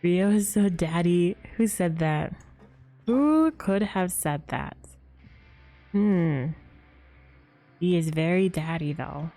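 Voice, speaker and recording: A woman's voice: lowish voice, lively intonation, slow, long pauses, mellow. A woman commenting casually on a game during a live stream, with music behind her.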